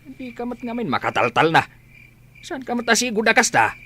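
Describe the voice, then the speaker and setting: A man's voice: very high voice, sing-song, a little fast, bright, forceful tone, slightly rough, exaggerated voice. A man playing a sleepy, teasing household companion in a radio comedy, with an Ilocano accent. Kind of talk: radio comedy drama acting.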